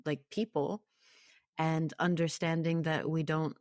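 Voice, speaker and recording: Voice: mid-range voice, level tone, measured pace, no echo, clean studio sound. A podcast guest talking conversationally in an interview, reflective but emphatic.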